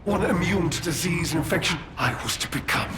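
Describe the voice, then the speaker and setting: An actor playing a craven, desperate schemer in a video game, pleading and justifying himself in a halting, theatrical way. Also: male voice, high voice, background noise, natural voice. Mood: desperate, unhinged. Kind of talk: dramatic video game cutscene acting.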